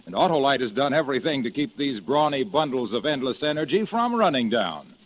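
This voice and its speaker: Animated old-time radio pitchman. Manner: brisk, bantering sales patter. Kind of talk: scripted old-time radio sponsor banter. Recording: old radio recording, faint noise.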